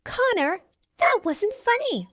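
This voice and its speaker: An indignant young girl superhero from a storybook, played by a woman reading aloud. She sounds high and animated, stubborn and pouting, in a dry recording. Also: takes it slowly, no echo, exaggerated voice.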